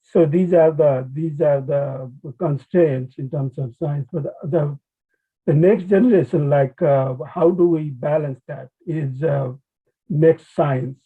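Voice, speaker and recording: A man from Nepal with a Nepali accent gives an informal academic talk over a video call, speaking in halting phrases with frequent restarts. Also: a little high, slightly rough. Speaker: conservation biologist, webinar speaker. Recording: thin phone-like online call audio.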